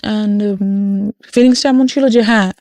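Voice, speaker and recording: A woman's voice: medium pitch, fairly even intonation, measured pace, mellow, no room sound. A young Bangladeshi woman telling her personal story as a radio interview guest, mixing English phrases into her speech. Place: radio studio.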